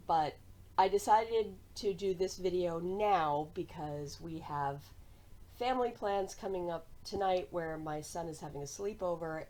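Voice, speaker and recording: A woman's voice: fairly low pitch, darkish tone. An American woman chatting casually and cheerfully to viewers as the host of a hobby vlog. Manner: conversational, cheerful. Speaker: cross-stitch vlogger and host.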